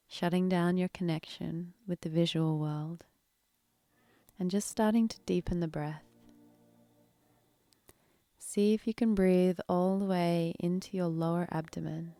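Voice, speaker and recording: A woman leading a guided meditation in a soft, breathy, unhurried, soothing manner with long pauses. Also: on the low side, no room sound.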